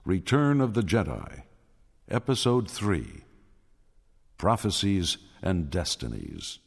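A man narrating a radio drama in a dramatic, grave, portentous and measured way. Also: deep voice, big pitch swings, creaky, husky. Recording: orchestral score behind the voice.

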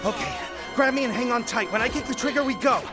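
A man's voice: very high-pitched, fast talker. A man playing a brave young rebel hero, urgent but reassuring, in a radio drama. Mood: determined. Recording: radio drama action, loud music.

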